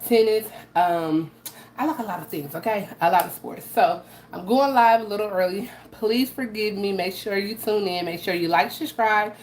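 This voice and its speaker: A woman with a raspy voice from allergies, hosting a casual live cooking demo and chatting to viewers with frequent "okay?" asides. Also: medium pitch, normal speed. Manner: chatty, repeats "okay?" to her audience. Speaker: host of a live cooking stream. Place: home kitchen. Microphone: camera she adjusts by hand. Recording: roomy echo, faint background noise.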